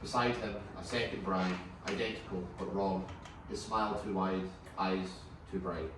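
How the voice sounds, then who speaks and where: A man's voice: deep voice, fairly even intonation, moderate pace. A man reading stage directions as scripted narration at a table read. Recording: distant echoey room, clear background noise.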